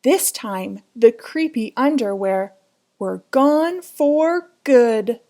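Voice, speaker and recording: A woman's voice: on the high side, lively intonation, drawn-out words, mellow. A woman reading a children's picture book aloud in a dramatic, suspenseful way, drawing out words. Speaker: storybook reader.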